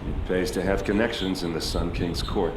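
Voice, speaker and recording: A man acting a gruff, hard-drinking guardsman with a low voice, blunt and defensive in his delivery. It is video game drama, echoey with clear ambient noise. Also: fairly even intonation, moderate pace, close to an everyday voice. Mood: dry.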